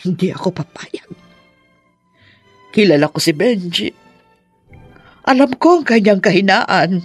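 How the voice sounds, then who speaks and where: An anguished, possessive middle-aged mother in a radio drama. Her husky voice halts with remorse as she confesses, recorded close and dry over faint music. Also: mid-range voice, animated, dry recording. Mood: remorseful, anguished. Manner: halting, confessional, heavy with feeling.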